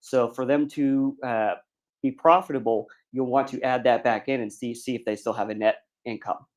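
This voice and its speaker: A man's voice: mid-range voice, steady tone, normal speed. A man who works as a bank commercial lender, giving a seminar lecture in a plain, explaining way with many ums and uhs. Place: seminar room.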